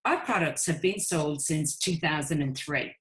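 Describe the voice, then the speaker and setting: A woman with an Irish accent presenting a webinar to an online audience in an upbeat sales tone. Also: moderate pace. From Ireland. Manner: upbeat sales pitch, addresses listeners as "guys".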